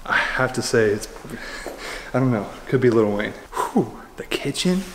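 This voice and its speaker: A young man in his twenties from Oklahoma, casually narrating as he explores an empty house, excited and slangy, laughing often. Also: mid-range voice, unhurried, background noise. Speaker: urban explorer vlogger. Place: large empty unfinished house, echoing rooms. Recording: very echoey empty rooms.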